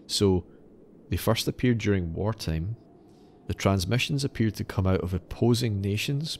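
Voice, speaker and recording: A man's voice: low voice, gravelly, darkish tone, slight background noise. A man hosting a podcast, narrating in an explanatory, slightly wry way that moves between scripted and casual. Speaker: podcast host.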